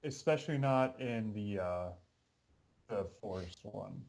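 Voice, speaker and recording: Young male podcast host, lowish voice. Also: measured pace, creaky, darkish tone. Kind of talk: casual gaming podcast chat.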